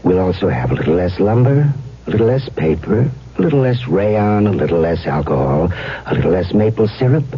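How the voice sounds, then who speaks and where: A man's voice: sits low, sing-song, brisk, husky. An American man reading a scripted public service announcement as a radio announcer. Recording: dull radio recording, faint music.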